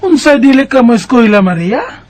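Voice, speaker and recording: A woman with a Cebuano Bisaya accent playing a concerned, nagging, skeptical mother in a radio drama, with a husky voice. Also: fairly high pitch, sing-song, moderate pace, over music. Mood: scolding. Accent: Cebuano Bisaya Filipino. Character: concerned, scolding, skeptical mother of a teenage girl.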